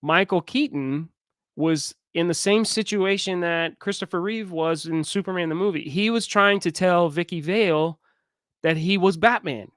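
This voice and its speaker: Animated, teasing male co-host. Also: high-pitched, moderate pace, smooth, no room sound, clean studio sound. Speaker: livestream co-host. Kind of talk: casual livestream chat.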